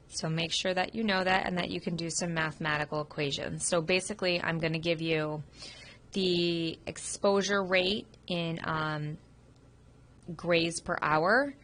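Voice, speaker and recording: A woman's voice: fairly low pitch, fairly bright tone. A woman instructor, sick and sniffling, giving an informal recorded review of a radiography test. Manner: informal, explanatory, with frequent ums. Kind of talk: recorded test review lecture over slides. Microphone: computer microphone.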